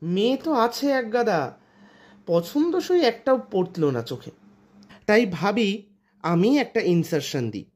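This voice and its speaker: A woman's voice: medium pitch, big pitch swings, slow pace, long pauses, darkish tone, breathy edge, exaggerated voice. A woman voicing a status-proud, anglicised barrister father in storybook dialogue, dropping English words into the conversation. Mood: dissatisfied.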